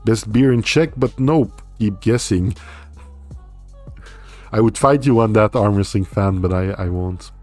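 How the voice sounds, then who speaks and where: A man's voice: lowish voice, a little slow, pauses often, faint music behind. A young man whose first language is Dutch, hosting a casual livestream and answering viewer comments. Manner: relaxed, rambling, reads viewer comments aloud and answers. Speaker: livestream host.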